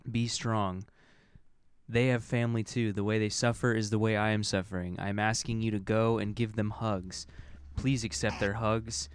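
A man's voice: fairly low pitch, fairly even intonation, moderate pace, smooth, no room sound, lightly acted. A man voicing a remorseful condemned former gang member, earnest and solemn, begging forgiveness in a comedy reading.

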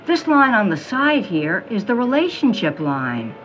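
Mystic fortune teller: female, knowing, teasing, very animated, audio drama, music bed. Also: medium pitch, muffled recording. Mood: soothing, knowing. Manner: theatrical, honeyed pet names, dramatic pauses. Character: mysterious middle-aged woman fortune teller, theatrical, knowing, teasing.

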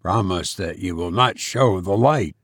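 A man voicing a frightened, pathetic stranger in a boat, faint and halting, pleading hesitantly in an audiobook. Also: deep voice, fairly bright tone, no echo, studio quality, voice pushed down. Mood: fearful. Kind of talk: audiobook character acting.

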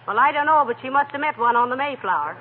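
A woman playing a sensible, long-suffering wife with a dry, gently scolding manner in 1940s radio comedy. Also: high voice, animated, fast talker. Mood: wry. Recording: tinny, hissy old radio recording.

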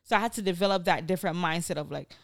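A woman's voice: lowish voice, rapid-fire, no room sound, studio quality. A young woman from Nigeria co-hosting a podcast, talking casually, bluntly and animatedly, and swearing freely. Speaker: podcast co-host. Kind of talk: casual chat.

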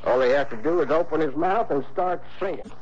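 A man's voice: fairly high pitch, a little fast, darkish tone, lightly acted. An actor playing a folksy, crotchety small-town insurance man in an early 1960s radio drama, with a hesitant, exasperated drawl. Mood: confiding. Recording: muffled, noisy old radio broadcast.

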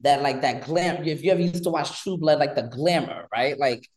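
A man in his thirties from New York, a guest on an interview show, talking in an animated, rambling way with lots of fillers. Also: on the high side, normal speed. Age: 30s. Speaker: guest, pole dance instructor. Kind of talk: casual interview chat.

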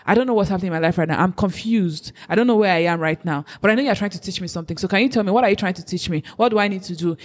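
A woman from Africa in a casual chat, giving earnest, faith-driven encouragement. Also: lowish voice. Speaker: co-host giving advice.

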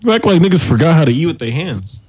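Male podcast host, animated pitch, crude joker, laughs a lot. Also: quick pace, slightly rough, no room sound. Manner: crude joking, frequent loud laughing, animated. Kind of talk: casual podcast banter.

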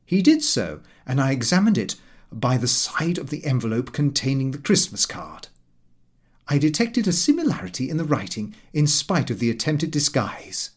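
A man's voice: mid-range voice, very animated, moderate pace. A man narrating a comic first-person audiobook in a prim, precise, slightly aggrieved manner.

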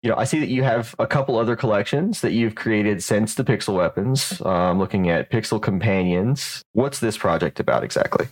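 A man's voice: on the low side, a little fast, few pauses. A man hosting a weekly podcast, interviewing an artist in a friendly, encouraging way. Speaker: podcast host.

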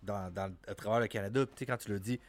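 A man's voice: lowish voice, steady tone, fast, no room sound. A man from Quebec with a Québécois French accent, chatting easily on a podcast and asking the others questions. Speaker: podcast co-host. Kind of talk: casual chat.

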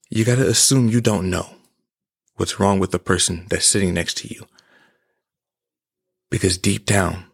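A man's voice: lowish voice, creaky. A man in his twenties giving an emphatic, preachy personal monologue as a podcast host. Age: 20s.

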